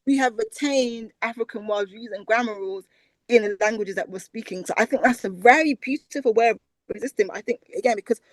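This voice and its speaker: A woman's voice: a little high, quick pace, dry recording, low-quality recording. A British woman, a language researcher, talking animatedly as a guest in a conversational interview. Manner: animated, repeats words for emphasis.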